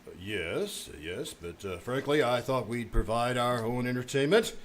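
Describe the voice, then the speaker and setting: A man's voice: mid-range voice, unhurried, natural voice. A man playing a pompous, easily flustered middle-aged school principal in an old-time radio comedy, blustering and hemming. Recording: noisy live stage recording.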